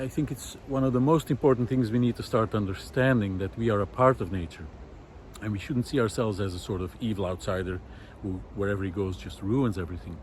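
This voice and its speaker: Low-voiced man musing outdoors over city noise. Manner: thoughtful, halting, searching for words. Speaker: host of a nature project podcast. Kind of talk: reflective unscripted spoken monologue. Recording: outdoor city noise, wind, distant traffic. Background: faint city noise, wind, vehicles.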